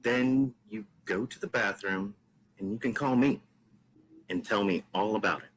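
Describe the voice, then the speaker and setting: A man's voice: on the low side, moderate pace, slight background noise, lightly acted. A man on a podcast table read voicing a confident young woman, a model and protective best friend, with a bossy manner. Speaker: podcast host reading a script.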